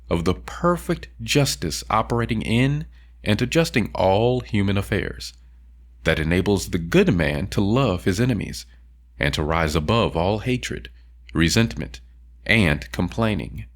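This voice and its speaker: A man reading a philosophical audiobook in a measured, emphatic way with deliberate pauses. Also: low-pitched, expressive. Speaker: audiobook reader. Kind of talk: scripted audiobook narration of a philosophical text.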